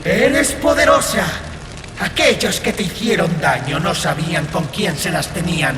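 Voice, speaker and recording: A woman voicing a cruel, seductive fire spirit in an audiobook drama, slow and menacing, drenched in reverb. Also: lowish voice, very animated, background noise. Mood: vengeful, menacing. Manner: slow, drawn-out, menacing and grandiose. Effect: heavy reverb. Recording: long echoing reverb over music.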